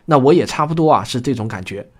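A man speaking as author and presenter, introducing a radio drama to listeners in a conversational way, pausing on trailing particles. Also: medium pitch, lively intonation, husky.

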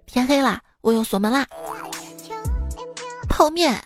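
Casting: young female comedy host, higher-pitched, teasing and theatrical, chatting with music underneath. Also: lively intonation, a little slow. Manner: playful, teasing, theatrical delivery. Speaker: host of a comedy joke show. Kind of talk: chatty humorous monologue over music. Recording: music bed behind the voice. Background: background music.

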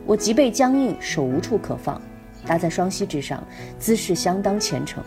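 A woman reading as a nervous first-person narrator of a comic radio play, in a measured, subdued way. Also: medium pitch, sing-song, voice pushed down. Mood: tense, subdued. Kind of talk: scripted narration. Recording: music clearly behind the voice. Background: music.

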